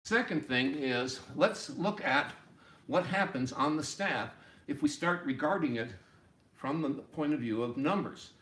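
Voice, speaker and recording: A man in his sixties giving an informal music lesson, explaining deliberately and step by step in a small room. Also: on the high side, fairly bright tone. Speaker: music teacher. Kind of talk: informal instructional explanation at a whiteboard. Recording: roomy, echoey home room sound.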